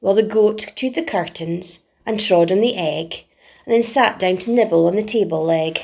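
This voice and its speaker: A woman reading a children's bedtime story in expressive, rhythmic rhyming narration, like a primary teacher. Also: mid-range voice, normal speed, gravelly.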